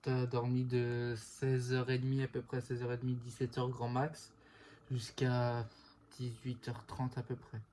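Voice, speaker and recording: An off-camera woman speaks from away from the microphone, her voice very low and dark. She keeps an even, flat delivery, making calm, matter-of-fact remarks from the side. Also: measured pace, slight background noise. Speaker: family member helping off camera. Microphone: off camera, away from the mic.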